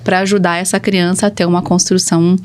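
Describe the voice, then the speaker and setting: A woman's voice: lowish voice, flat delivery, fast. A woman with a Brazilian Portuguese accent speaking as a specialist guest in a casual podcast interview, explaining her points in everyday language. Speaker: podcast guest, speech therapist.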